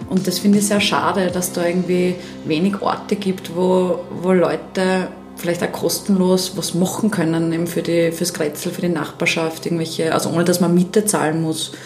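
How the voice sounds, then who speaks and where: A woman's voice: fairly low pitch, echoey room. A woman in her thirties from Upper Styria with a Styrian Austrian accent, chatting casually as a podcast guest with many fillers. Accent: Styrian Austrian German. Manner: chatty, animated, many fillers, laughs easily. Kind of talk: casual chat.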